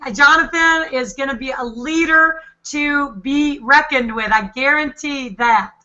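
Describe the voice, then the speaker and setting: A woman hosts an online panel call and praises each guest with gushing enthusiasm. Her voice is high, bright and forceful. The audio sounds dull and lo-fi, like a video call. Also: unhurried. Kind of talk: upbeat network marketing hosting.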